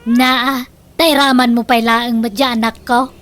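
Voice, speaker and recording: A woman's voice: a little high, little pitch movement, unhurried, a bit bright. An actress playing a stubborn, meddling old mother in a radio drama, with a Filipino accent and a scolding, dismissive manner. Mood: indignant. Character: stubborn, meddling old mother who indulges her son.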